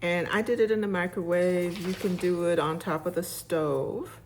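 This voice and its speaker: Low-voiced chatty woman in a home kitchen. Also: steady tone, moderate pace, slight background noise. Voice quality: loud voice. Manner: chatty and homey, talks straight to viewers. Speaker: home cooking host. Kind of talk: casual cooking demonstration. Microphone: phone, later a clip-on mic.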